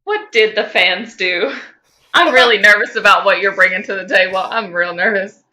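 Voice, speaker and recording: A woman co-hosting a podcast, informal and expressive, moving between casual chat and reading fan fiction aloud. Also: medium pitch, normal speed, low-quality recording. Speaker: podcast co-host.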